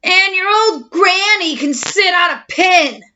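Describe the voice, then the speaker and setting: Storybook little pig played by a woman: grumpy, loud, sharp and high, curt yelling, slightly dull recording. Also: lively intonation, takes it slowly, a little hiss or hum, heavily acted. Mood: rude, irritated.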